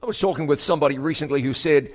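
A man preaching a sermon as pastor, stretching key words for emphasis and dropping to a hushed tone at solemn moments. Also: on the high side, racing. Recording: long hall reverb.

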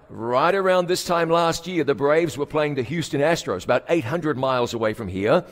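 Folksy preacher in a big echoing hall. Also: male voice, on the high side. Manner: folksy storytelling with lively play-by-play; leads congregation in prayer. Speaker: pastor. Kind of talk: sermon. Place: large church auditorium. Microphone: stage microphone with monitors. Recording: long reverb of a large hall.

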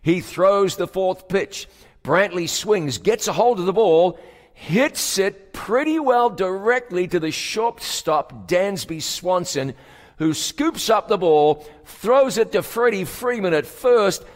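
Folksy preacher in a big echoing hall. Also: male voice, high voice, slow. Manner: folksy storytelling with lively play-by-play; leads congregation in prayer. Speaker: pastor. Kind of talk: sermon. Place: large church auditorium. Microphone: stage microphone with monitors. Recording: long reverb of a large hall.

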